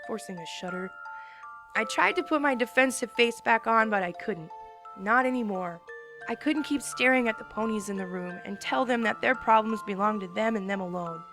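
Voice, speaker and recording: A woman's voice: mid-range voice, vocal fry. A woman giving an emotional, earnest first-person dramatic reading of a story. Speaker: reader.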